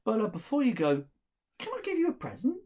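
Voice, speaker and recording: A storyteller acts out a mischievous man of the road from a folktale, offhand and a little bumbling. His speech is casual, with big swings in pitch. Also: wildly swooping pitch, a little fast, darkish tone. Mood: genial.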